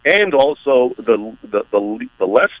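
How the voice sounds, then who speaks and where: A man from the United States, an author speaking as a radio guest, explaining his view in a measured, emphatic way. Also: medium pitch, sing-song, no room sound, dull audio. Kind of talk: radio interview.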